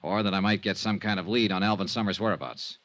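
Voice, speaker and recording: An actor playing a tough, sardonic American insurance investigator in a vintage radio drama, talking in breezy slang. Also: male voice, on the low side, quick pace, a bit bright, natural voice. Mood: wry, recounting. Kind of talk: 1950s radio drama acting. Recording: dull vintage radio recording.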